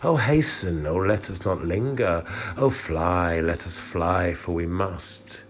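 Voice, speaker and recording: A man's voice: deep voice, slow. A man voicing a fearful, mistrustful female winged soul in a dramatic, pleading poetry recitation. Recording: muffled, with background hiss.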